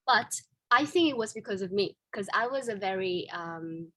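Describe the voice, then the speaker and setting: A woman's voice: mid-range voice, animated, normal speed, fairly bright tone, no room sound. Vietnamese-accented young woman, dry sound. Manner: candid, many fillers and restarts, laughs easily. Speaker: guest. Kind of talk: casual interview chat.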